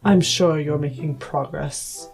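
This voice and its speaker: Imperious wealthy guest played by a woman; low, unhurried, cool and curt, lightly gravelly. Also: fairly even intonation, darkish tone, faint music behind, full character voice. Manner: curt, cool, self-assured. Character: haughty, well-to-do guest who insists on being called sir.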